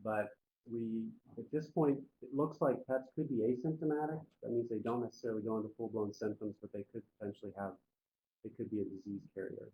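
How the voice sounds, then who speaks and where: A man's voice: lowish voice, fairly even intonation, brisk, dull audio, a little hiss or hum. A man presenting an informal public health briefing on a conference call, explaining in plain, folksy wording.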